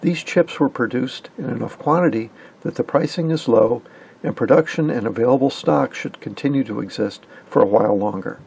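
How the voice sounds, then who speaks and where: A man's voice: mid-range voice, brisk, vocal fry, darkish tone, husky, poor audio. A man in his fifties giving an informal technical explanation as a hobbyist host. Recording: faint background noise.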